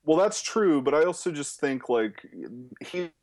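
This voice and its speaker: A man's voice: a little high, lively intonation, measured pace, darkish tone. A man co-hosting a film podcast, chatting loosely with lots of fillers and swearing. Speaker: podcast co-host, film reviewer. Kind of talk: casual chat.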